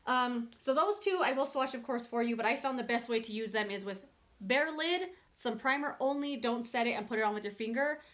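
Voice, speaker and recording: A woman's voice: fairly high pitch, fairly quick, fairly bright tone. A woman in her thirties giving a casual makeup review at home, chatty and candid, rambling with fillers and restarts. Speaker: beauty vlogger. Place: small cluttered home room, indoors.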